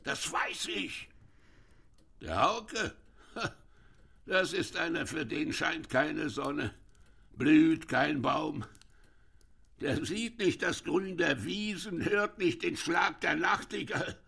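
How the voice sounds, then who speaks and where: An actor playing a bitter, ailing old dike reeve in a German radio drama, gravelly and husky, halting and brooding as he speaks. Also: a little high, bright, forceful tone, exaggerated voice. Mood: bitter, weary. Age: older. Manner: slow, brooding, halting with repeated words.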